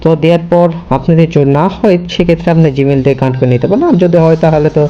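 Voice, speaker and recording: A man's voice: on the high side, racing, muffled recording, noisy background. A man hosting a casual step-by-step screen tutorial, linking his sentences repetitively with the same connective word. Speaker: tutorial host.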